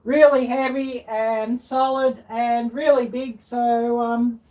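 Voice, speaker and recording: A middle-aged woman chatting casually and unscripted as a live-stream cooking host, halting and rambling as she searches for words. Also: a little high, flat delivery, dark tone, lo-fi sound. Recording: distant mic, clear background noise.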